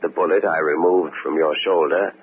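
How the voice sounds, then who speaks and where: An actor playing a calm, patronizing doctor with a measured, formal manner in a 1940s radio mystery. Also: male voice, extremely low pitch. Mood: firm, soothing. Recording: very noisy, narrow old radio broadcast.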